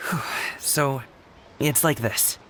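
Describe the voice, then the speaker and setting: A man's voice: mid-range voice, slow, background noise, lightly acted. An actor playing an earnest, considerate young man in a video game, speaking hesitantly and sincerely.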